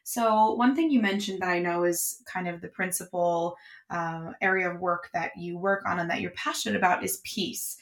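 A woman hosting a podcast interview in an effusive, encouraging manner. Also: lowish voice. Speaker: podcast host.

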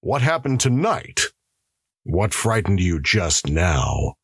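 A stern male prison warden in an audiobook, played by a male narrator, curt and probing. He sounds baffled, with a husky edge and varied pitch, recorded very dry. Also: very animated, takes it slowly, a bit bright, dry recording, exaggerated voice. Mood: puzzled. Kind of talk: audiobook character acting.

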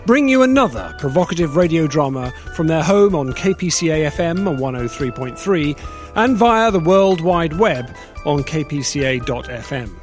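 A man's voice: on the high side, lively intonation, normal speed, soft background music. A man announcing a radio drama in a scripted introduction.

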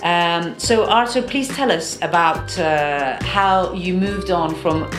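Low-voiced woman hosting in an echoey room. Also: takes it slowly, over music. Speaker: host. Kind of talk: interview hosting.

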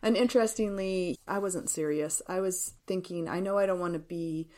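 A woman's voice: on the low side, normal speed, a little mellow. A woman in her fifties speaking reflectively and earnestly as an author being interviewed, explaining her ideas. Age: 50s.

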